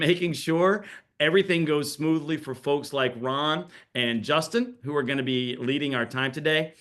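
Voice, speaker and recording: A man with a bright, forceful voice opens a video-call session as its host. He talks in a friendly, chatty way, with small fillers and quick self-corrections along the way. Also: mid-range voice. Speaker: regions director hosting an online info session. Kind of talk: casual scripted welcome on a video call.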